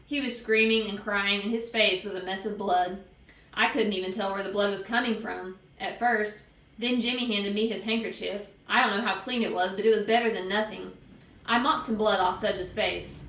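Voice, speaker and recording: A woman reading a children's book aloud as an expressive storyteller. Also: mid-range voice, moderate pace, reverberant, rough recording.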